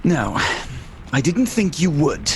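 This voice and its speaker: A man's voice: on the high side, big pitch swings, slow pace, a little mellow, exaggerated voice. A man acting a mild, sheepish husband and father in a comic radio play, hesitant and bantering. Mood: persuasive. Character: hapless, mild-mannered husband and father, freshly dead.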